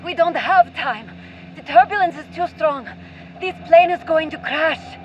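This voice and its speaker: A woman playing a firm, loving mother and pilot in a radio play, earnest and dramatic. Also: unhurried. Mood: alarmed, defiant. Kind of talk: audio drama acting. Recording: muffled, faint vehicle rumble.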